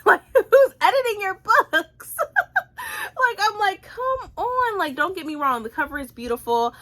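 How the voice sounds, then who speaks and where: Bright-voiced woman chatting casually about books. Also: sits high. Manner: informal, slangy, repeats phrases for emphasis. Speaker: book reviewer, channel host. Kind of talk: casual chatty vlog book review.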